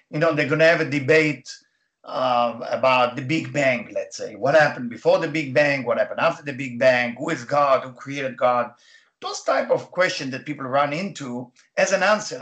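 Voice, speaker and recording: Israeli-accented man, halting and thoughtful. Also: medium pitch, fairly bright tone. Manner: halting non-native English with many fillers. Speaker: guest, Kabbalah teacher. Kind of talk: radio interview answers.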